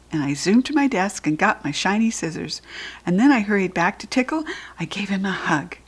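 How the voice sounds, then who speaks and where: Expressive American woman reading a children's book. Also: mid-range voice, breathy edge. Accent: General American.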